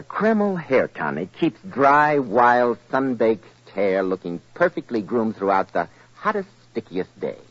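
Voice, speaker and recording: A man with an American accent announcing and pitching a sponsor in a polished, emphatic 1940s radio style. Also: no room sound. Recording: muffled old radio recording.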